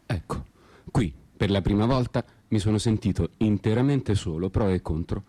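An actor playing a disenchanted solitary traveller, narrating a literary radio-theatre monologue in a measured, introspective and ironic way, with guitar behind him. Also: male voice, on the low side, warm tone, lightly acted. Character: disenchanted male traveller wandering a foreign city alone.

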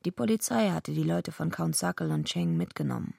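A woman's voice: low-pitched, huge pitch swings, a little fast, gravelly, warm tone, dry recording, studio quality, exaggerated voice. An actress playing a tough, bitter female wrestler who tells her own story brashly in a German radio drama. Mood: subdued, weary. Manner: brash, foul-mouthed. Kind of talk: German radio drama, first-person narration and dialogue.

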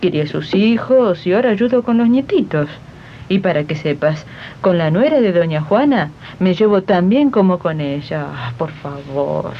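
A woman plays an ageing Argentine housemaid, proud, homely and set in her ways. Her voice sits fairly low, in amateur radio drama style on dull, faintly noisy archive tape. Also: lively intonation, normal speed. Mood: proud, indignant. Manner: dismissive, homely, indignant. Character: loyal, stubborn ageing housemaid, naive and proud.

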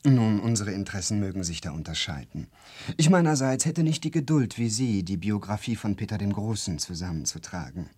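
A man's voice: on the low side, lively intonation, a little fast, dark tone, breathy edge, no echo, studio quality. An actor playing an earnest young English nobleman in a German radio play, with theatrical, formal diction. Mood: polite.

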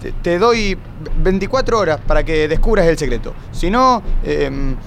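A man's voice: fairly high pitch, expressive, normal speed, creaky, a bit bright, a little hiss or hum. A man playing a nervous, jealous boyfriend who stutters insistently and threatens, in a comedy radio play. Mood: threatening.

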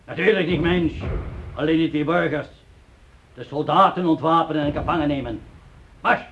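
Radio play villain: man playing harsh lieutenant, higher-pitched commanding bark, muffled lo-fi sound. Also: moderate pace, a little hiss or hum, lightly acted. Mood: curt, commanding. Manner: barking, interrogating. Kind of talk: radio drama acting. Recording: dull lo-fi radio play recording.

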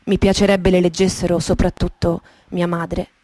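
A woman gives a dramatic literary reading in the manner of a radio theatre monologue, intimate and poetic, with deliberate pauses. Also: on the low side, little pitch movement, mellow. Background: music with guitar and sound design.

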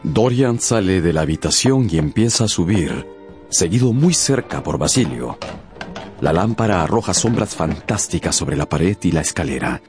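Male narrator, very low voice, dramatic scripted storytelling, radio drama, music underneath. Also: lively intonation, moderate pace, a little mellow.

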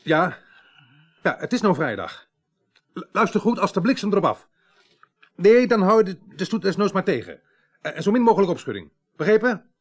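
An actor playing a brisk, businesslike police inspector in a 1980s radio crime drama, giving impatient orders in speech with a husky voice. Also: male voice, high voice, big pitch swings. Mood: urgent, commanding.

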